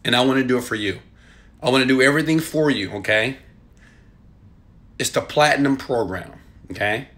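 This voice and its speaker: A man in his thirties, filmed on a phone held at arm's length in a small room at home, giving a hyped and preachy sales pitch with loud bursts and quieter asides. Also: moderate pace, long pauses. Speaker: online marketing coach. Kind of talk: selfie video sales pitch.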